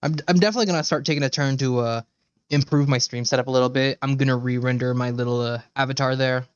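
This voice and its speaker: A low-key male streamer rambling casually at a middling pitch. The mic is dry and close, with a slightly dull sound. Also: steady tone, quick pace, clear, resonant tone, no echo. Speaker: live streamer. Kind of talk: casual livestream chat.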